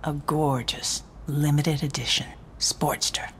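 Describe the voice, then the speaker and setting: Low, breathy, sultry female fixer. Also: flat delivery, slow, a little hiss or hum. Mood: seductive. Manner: purring, teasing. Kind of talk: cinematic video game acting.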